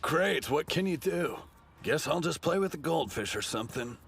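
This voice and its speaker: High, brash teenage delinquent. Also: male voice, expressive, moderate pace, vocal fry, fairly bright tone, a little hiss or hum. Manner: brash, swaggering. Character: hot-headed, dim teenage delinquent sumo wrestler. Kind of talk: anime dub acting. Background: music.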